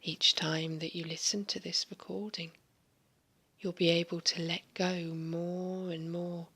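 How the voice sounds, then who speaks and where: A woman speaks in a slow, breathy, mostly whispered voice, leading a guided hypnosis for relaxation and sleep. Her pitch sits on the low side, and her pace is very unhurried. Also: little pitch movement, bright, forceful tone. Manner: slow, soothing, largely whispered delivery.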